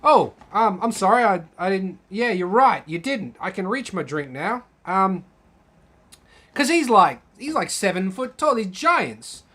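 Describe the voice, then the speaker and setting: A man's voice: high voice, big pitch swings, measured pace, pushed and bright. A young man casually chatting on a live stream, rambling, reading comments aloud and swearing freely. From Australia. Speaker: channel host.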